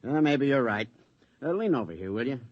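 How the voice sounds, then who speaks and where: An actor playing a dying old spaceman in a radio drama, fatherly, halting and confiding. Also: mid-range voice, sing-song, no echo. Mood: weary, confiding. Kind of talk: 1950s radio drama acting. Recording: dull lo-fi vintage radio recording.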